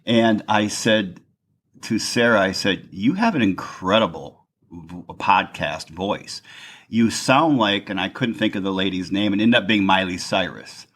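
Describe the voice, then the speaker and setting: Loud, low-voiced, husky male podcast host. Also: expressive, measured pace. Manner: enthusiastic, rambling, self-interrupting. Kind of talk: casual interview chat. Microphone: podcast mic.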